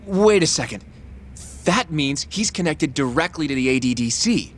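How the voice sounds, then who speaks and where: A man's voice: a little high, animated, moderate pace, slight background noise, natural voice. A man playing an earnest, inquisitive associate in a video game cutscene, questioning and exclaiming. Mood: surprised. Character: earnest, inquisitive male associate, easily surprised.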